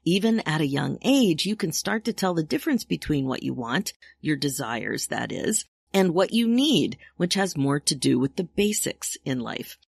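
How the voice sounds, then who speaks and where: A woman's voice: low-pitched, very animated, normal speed, gravelly, few pauses, husky, no echo. A woman in her sixties hosting a solo podcast as a coach, chatty and upbeat with frequent laughs. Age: 60s. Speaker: podcast host and midlife coach.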